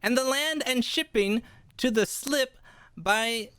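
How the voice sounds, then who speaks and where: Woman as clear-voiced, earnest cabin boy. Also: fairly high pitch, takes it slowly, a bit bright, no room sound, clean studio sound. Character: eager, earnest young cabin boy narrating his adventure. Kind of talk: radio play storytelling.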